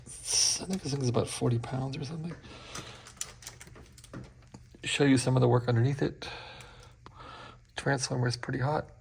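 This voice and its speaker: Man at a workbench, gravelly voice, relaxed chatty walkthrough of a restored radio, mid pitch. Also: moderate pace, long pauses, slight background noise. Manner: chatty, explains as he works. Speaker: equipment restorer demonstrating a receiver. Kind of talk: casual hands-on demo.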